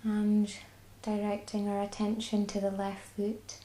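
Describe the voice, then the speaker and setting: A woman with a Scottish accent guiding a body scan meditation, speaking slowly and soothingly. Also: mid-range voice, monotone, reverberant. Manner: slow, soft and soothing. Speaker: mindfulness guide.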